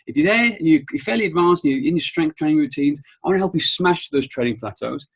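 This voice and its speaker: Husky Welsh man coaching upbeat. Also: a little high. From Wales. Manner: upbeat, often checks in with "okay?". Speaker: strength coach. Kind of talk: webinar presentation.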